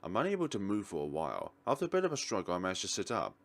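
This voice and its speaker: A man with a low, gravelly voice reads game narration aloud in a theatrical way. His pitch swings widely as he leans on key words, and he sometimes trips over a phrase. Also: huge pitch swings, rapid-fire. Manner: theatrical, varied emphasis, occasional stumbles. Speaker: let's player reading a visual novel aloud. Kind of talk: dramatic reading of game narration.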